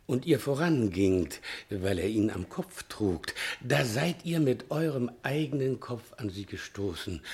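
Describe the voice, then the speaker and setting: An actor playing a frightened, shifty manservant in a German radio play, pleading and hesitant, stumbling over his excuses. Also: on the low side. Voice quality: stammering, hesitant. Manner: pleading, servile, theatrical. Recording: vintage 1960 radio studio recording.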